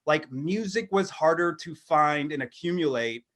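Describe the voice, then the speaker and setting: A middle-aged American man co-hosting a podcast, talking in an animated, rambling, self-deprecating way, between comic monologue and casual chat. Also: fairly high pitch, normal speed. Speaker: podcast co-host.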